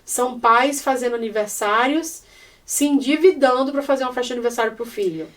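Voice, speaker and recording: Animated Brazilian woman, a bit off-mic. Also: on the high side, fairly quick. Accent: Brazilian Portuguese. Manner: animated, expressive, acts out little quotes. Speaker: podcast guest. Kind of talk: casual chat. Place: indoors in Ireland. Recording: voice a little distant from the mic.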